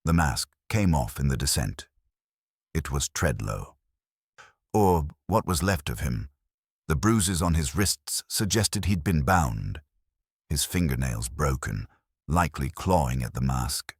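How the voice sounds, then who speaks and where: A man reading a scripted detective mystery in a measured, suspenseful storytelling manner. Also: rumbling low, lively intonation, breathy edge, no echo, studio quality. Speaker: audiobook narrator. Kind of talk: scripted dramatic mystery narration.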